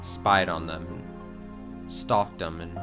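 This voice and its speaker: A man with a lowish voice streams his reactions over clearly audible game music. He switches between reading dialogue aloud and casual, sweary commentary, with an incredulous manner. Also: steady tone, slow. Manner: sweary, incredulous, often laughing. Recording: game music playing behind. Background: video game cutscene music.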